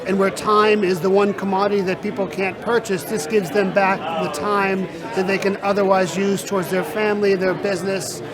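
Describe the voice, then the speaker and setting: Flat-toned man with a polished sales pitch. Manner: measured, polished sales talk. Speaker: real estate sales director. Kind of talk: interview at a trade event. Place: busy conference floor. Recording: loud crowd chatter behind. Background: crowd chatter.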